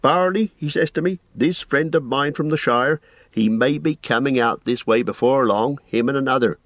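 A man voicing a fussy, forgetful old innkeeper who rambles in a flustered, drawn-out way. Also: mid-range voice, a bit bright, no room sound, voice pushed up, lightly acted. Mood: apologetic fretful.

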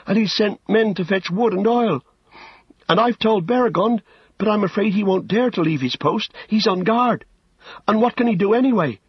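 A man's voice: high voice, normal speed, breathy edge, no echo, lo-fi sound, pitched above the performer's own voice. A man voicing a frightened young male hobbit who pleads anxiously in an audiobook story. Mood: frightened, urgent.

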